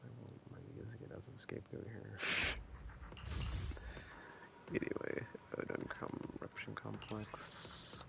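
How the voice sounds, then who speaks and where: A man doing casual gameplay commentary, rambling and thinking aloud in a gravelly voice over game music. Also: on the low side, monotone, a little slow, long pauses, very noisy. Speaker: game streamer. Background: loud video game music.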